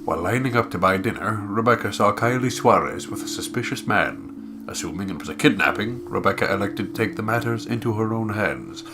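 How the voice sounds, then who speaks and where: Low, measured solemn narrator played by a man. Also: music in the background, close to an everyday voice. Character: solemn measured storybook narrator reading diary entries.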